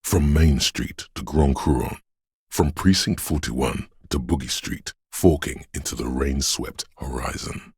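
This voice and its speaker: Very deep gravelly brooding city voice. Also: male voice, a little slow, a little mellow, dry recording, clean studio sound, exaggerated voice. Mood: brooding, solemn. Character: brooding, omniscient male inner voice of a rain-soaked city. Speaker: video game narrator. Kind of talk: slow literary second-person game narration.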